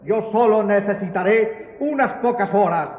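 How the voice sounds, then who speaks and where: A man's voice: high-pitched, measured pace. A man playing a proud, indignant nobleman who defends his honour in grandiloquent, declamatory radio drama style. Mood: indignant, defiant. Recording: echoey hall, thin narrow-band sound.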